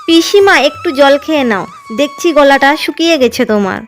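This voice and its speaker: A devoted young village wife in a cartoon tale talks tenderly and patiently, voiced by a woman. Her voice is high, with soft music under it. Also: lively intonation, normal speed. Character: caring young farmer's wife, warm and devoted.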